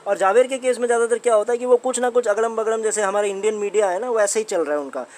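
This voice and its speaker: A man's voice: high voice, rapid-fire, a little mellow. A man with an Indian accent giving informal explainer commentary, conversational, often repeating his points and putting rhetorical questions to the listener. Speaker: host of a commentary channel on a company's updates.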